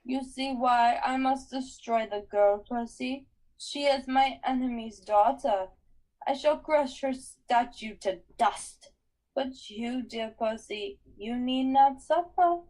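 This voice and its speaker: A woman's voice: on the high side, unhurried, close to an everyday voice, poor audio. Woman voicing a purring monstrous temptress. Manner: soft purring menace. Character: ancient female monster, coaxing and cruel. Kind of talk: audiobook character voice.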